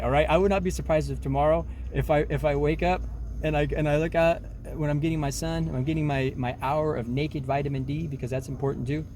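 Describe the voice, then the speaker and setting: Man in his forties, self-help vlogger, mellow voice, talks in emphatic loops with faint noise behind. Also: fairly high pitch. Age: 40s. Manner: rambling, many restarts, emphatic, says "man" often. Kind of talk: rambling casual monologue.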